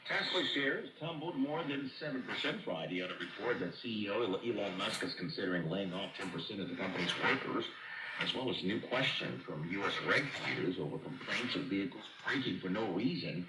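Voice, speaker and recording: A male radio anchor reads the news in a low, measured, formal voice. The broadcast comes over shortwave, buried in loud noise with a roomy echo around the words. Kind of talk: scripted news bulletin reading. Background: loud radio static and noise.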